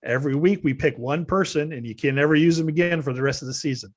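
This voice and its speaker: A man's voice: a little high, quick pace. A man hosting a casual golf podcast, patiently explaining the rules of a picking game and handing off to his co-host. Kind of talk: casual sports chat.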